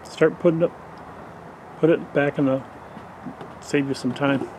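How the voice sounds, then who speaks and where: A man's voice: a little high, steady tone, a little slow, darkish tone, poor audio. A man with a somewhat raised voice talks through beehive work in a plain, matter-of-fact way. He is outdoors in a backyard and picked up by a camera a few steps off, with a light hum of outdoor noise behind him. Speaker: beekeeper directing a helper. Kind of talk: casual instructions while working. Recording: faint outdoor background noise.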